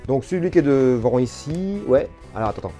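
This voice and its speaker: A man's voice: medium pitch, expressive, a little fast, mellow. Casual man over background music. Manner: hesitant, informal, repeats himself. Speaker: video host. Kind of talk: casual unscripted product demo chat.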